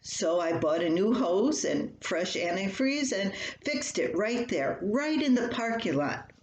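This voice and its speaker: A woman's voice: medium pitch, sing-song, a little slow, lo-fi sound. A woman voicing a giggly, upbeat husband telling a story of a breakdown in an amused, emphatic way. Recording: roomy echo, faint background noise.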